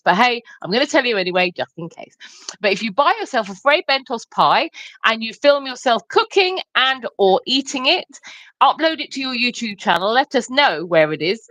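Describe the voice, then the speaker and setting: Woman from London, cheeky co-host, lively higher voice, dry close recording. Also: no echo, poor audio. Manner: cheeky, laughs often, teasing. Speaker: livestream co-host. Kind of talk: casual banter.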